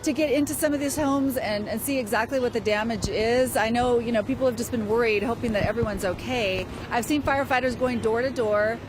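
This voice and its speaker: A woman's voice: on the high side, brisk, hardly any pauses. A woman reporting live from outdoors on a street, ad-libbing conversationally with lots of "you know". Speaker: field reporter. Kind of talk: unscripted live breaking-news report. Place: outdoors on a residential street at an emergency scene. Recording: clear outdoor street noise.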